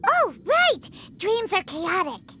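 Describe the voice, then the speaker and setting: A woman voicing a small, excitable childlike fairy companion in a video game, squeaky and exclamatory. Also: slow pace, full character voice. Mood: eager. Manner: exclaiming, refers to self in third person. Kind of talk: English video game voice acting.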